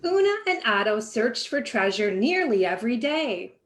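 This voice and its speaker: A woman reading a children's picture book aloud in an expressive, animated way with dramatic pauses. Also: a little high. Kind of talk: expressive children's storybook narration.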